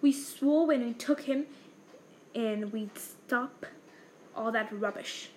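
A woman's voice: on the high side, slow pace, a little mellow, a little hiss or hum, low-quality recording. A woman voicing a stern, blustering, angry middle-aged uncle in a read-aloud novel.

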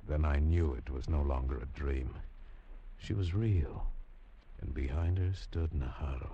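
A man's voice: rumbling low, unhurried, husky, narrow, tinny audio. A man as hero and narrator of a 1950s radio adventure drama, telling the story in an earnest, urgent way.

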